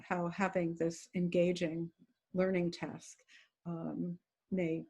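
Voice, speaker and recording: A woman's voice: fairly low pitch, vocal fry, darkish tone, husky, low-quality recording. An older woman lecturer answering audience questions over a video call, thoughtful and full of small hedges and dry asides. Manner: thoughtful, hedging, self-correcting with dry humor. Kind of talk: academic Q&A, conversational.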